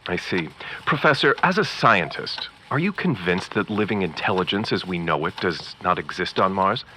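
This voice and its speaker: Low, forceful, pompous radio reporter. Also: male voice, animated, normal speed, a little hiss or hum. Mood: grave, formal. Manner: formal, theatrical, condescending. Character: pompous sexist male radio field reporter. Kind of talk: 1930s radio news acting.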